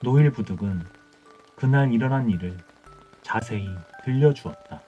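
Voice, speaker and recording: A man's voice: mid-range voice, expressive, normal speed. A man reading an old folktale as scripted bedtime audiobook narration. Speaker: audiobook storyteller. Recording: dull muffled audio with music.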